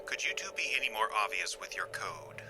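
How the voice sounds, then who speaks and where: An actor playing a coolly menacing, condescending alien invader in an audio drama, speaking in a measured, threatening way. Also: male voice, lowish voice, sing-song, noisy background. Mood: impatient menace.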